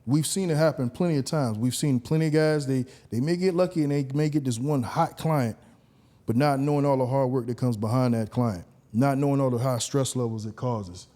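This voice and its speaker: A man hosting a podcast, talking in a relaxed, conversational way with lots of "you know"s. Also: medium pitch, brisk. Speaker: podcast host.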